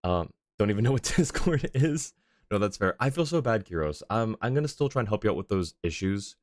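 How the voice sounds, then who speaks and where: A man's voice: fairly low pitch, lively intonation, a little fast, dry recording, clean studio sound. A man hosting a game livestream, chatting casually with his viewers in a friendly, apologetic way. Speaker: livestream host, gamer. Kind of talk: casual game stream chat, reading chat and game dialogue aloud.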